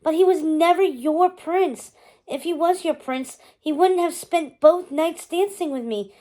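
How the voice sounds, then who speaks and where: Lady doing a sweet eager young fairytale girl, bubbly n earnest, high pitched. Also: measured pace, warm tone. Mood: earnest, defensive.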